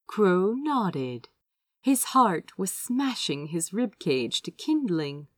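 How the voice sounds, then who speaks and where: Woman narrating expressively, slow and animated. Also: medium pitch, no room sound. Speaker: audiobook reader of fan fiction. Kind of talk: expressive scripted story narration.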